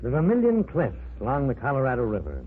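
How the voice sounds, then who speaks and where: An actor playing a confident older archaeology professor who explains things in a measured, authoritative way, in a 1940s radio drama. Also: male voice, mid-range voice, wildly swooping pitch, slightly rough. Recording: tinny old radio broadcast with faint hiss.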